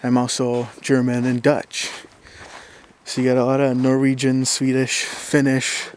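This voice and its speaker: A man's voice: medium pitch, completely flat delivery, measured pace. A young man from southern Minnesota with a Minnesotan accent, rambling casually to camera with many fillers and restarts. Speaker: vlogger. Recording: faint background noise.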